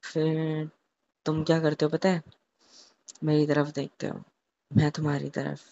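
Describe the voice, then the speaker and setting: A young man in an intimate, casual phone chat, telling a romantic scenario haltingly, repeating words and mixing in English. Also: on the high side, completely flat delivery, gravelly, mellow, slight background noise. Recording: muffled lo-fi phone call recording.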